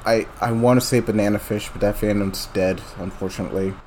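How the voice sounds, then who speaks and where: A man in his mid-twenties with a lowish voice rambles through casual chat as the podcast's producer. He restarts his sentences often. Faint noise sits beneath the recording. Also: steady tone, brisk, a little mellow. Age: 20s.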